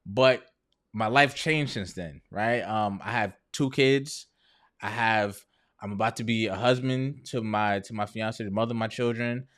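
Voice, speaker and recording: A man's voice: on the low side, a little slow, fairly bright tone. Candid man in his thirties, chatting casually. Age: 30s. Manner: conversational, often checks in with "right?" and "you know". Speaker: entrepreneur video host sharing personal story. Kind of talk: candid casual chat to viewers.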